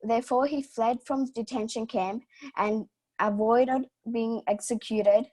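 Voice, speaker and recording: A woman's voice: a little high, steady tone, measured pace, a little mellow. A young woman from Sri Lanka reading her personal story aloud over a video call, careful with small slips.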